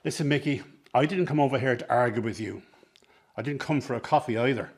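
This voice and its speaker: A man's voice: medium pitch, a little fast, husky. A man acting in a radio drama as a scheming circus man, talking in an ingratiating, persuasive, coaxing way. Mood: earnest, coaxing. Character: smooth-talking, scheming circus man, mother's old flame. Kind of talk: dramatic radio play dialogue.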